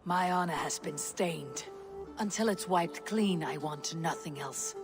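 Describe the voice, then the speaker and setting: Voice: medium pitch, measured pace, creaky, music in the background. An actor playing a proud, defiant young Viking warrior in a video game, blunt and challenging. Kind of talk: dramatic video game acting.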